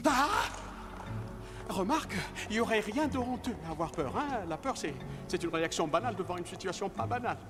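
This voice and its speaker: A man voicing an excitable, chatty donkey sidekick in an animated film. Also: high-pitched, brisk, over music. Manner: rambling, nervous chatter.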